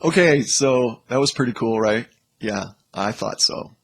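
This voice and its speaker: A man's voice: medium pitch, a little slow, vocal fry. A man hosting a video, talking casually and informally to the viewer. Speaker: video host.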